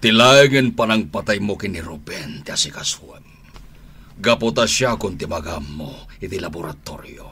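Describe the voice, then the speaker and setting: A man's voice: deep voice, expressive, normal speed, bright, forceful tone, slightly rough, heavily acted. A man playing a stern, scheming older crime-boss father in an Ilocano radio drama, with a commanding manner. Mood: cold, commanding. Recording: noisy AM radio drama recording.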